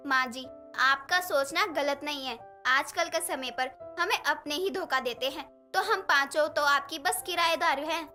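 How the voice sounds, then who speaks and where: A woman voicing a kind young tenant daughter-in-law in a storybook tale, over soft background music. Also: high voice, unhurried. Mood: earnest.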